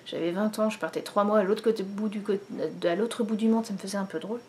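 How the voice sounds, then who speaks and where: A woman's voice: mid-range voice, fairly even intonation, fast talker, a little mellow. A woman in her thirties chats to a camera in her living room, rambling along with plenty of fillers and restarts. Her voice sits in a middle range for a woman. A faint hum of the room sits behind her. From France. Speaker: knitting vlogger. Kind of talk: casual chat to camera. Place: living room at home, indoors. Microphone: camera in front, head and shoulders.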